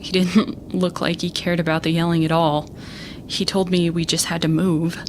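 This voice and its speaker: An actress playing a young female starship captain, shaken and stubborn, telling her story in a halting, earnest monologue for an audio drama. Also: on the low side, flat delivery, noisy background, natural voice. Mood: upset, earnest. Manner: halting, earnest, self-interrupting. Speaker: lead character recounting events in a broadcast. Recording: music bed under the voice. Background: music.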